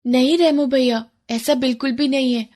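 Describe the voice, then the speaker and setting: A sweet, humble little girl with a high voice, acted by a woman for a cartoon moral story. She talks in a gentle, pleading way, full of earnest hope. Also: steady tone, moderate pace, lightly acted. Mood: earnest, hurt.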